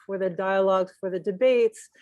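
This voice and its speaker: A woman's voice: medium pitch, fairly even intonation, a little mellow, no room sound, muffled recording. Earnest woman on a dry video call. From Peru. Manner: earnest, reflective, with many pauses and restarts. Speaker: journalist and consultant speaking at a memorial. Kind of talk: spoken tribute on a video call. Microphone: video call microphone.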